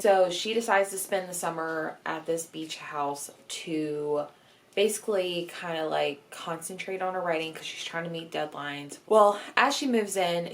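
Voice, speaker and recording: A young adult woman chatting informally in a casual reading vlog, recorded indoors at home. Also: fairly low pitch. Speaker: book vlogger.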